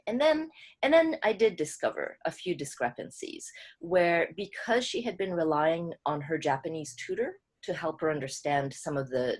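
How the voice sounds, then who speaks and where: A woman, a co-translator, explaining her work at an online book club in a thoughtful, halting way with many restarts. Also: low-pitched, slightly rough. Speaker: co-translator speaking as a guest.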